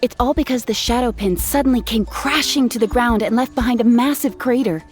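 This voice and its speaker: A woman's voice: on the high side, a little fast, lightly acted. A woman playing an earnest, kind young female gem artisan in a video game, speaking in a conversational storytelling way. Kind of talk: video game character acting. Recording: music playing behind.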